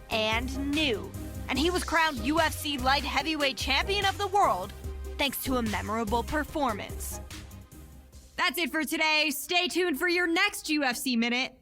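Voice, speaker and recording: A woman's voice: sits high, lively intonation, measured pace. A woman reading a scripted, upbeat sports news update as its presenter. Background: music.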